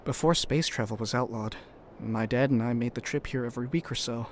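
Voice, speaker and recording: Male actor as loyal young spacefarer, natural mid-pitched voice, earnest and measured, slight ambience behind. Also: slight background noise, close to an everyday voice. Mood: somber. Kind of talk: audio drama acting.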